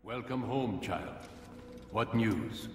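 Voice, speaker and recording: An actor playing an aged, commanding assassin master in a video game, speaking as a grave, oratorical mentor. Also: male voice, lowish voice, animated, slow pace, roomy sound, long reverb tail, distant mic, slight background noise. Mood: grave, probing. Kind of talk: video game cutscene dialogue.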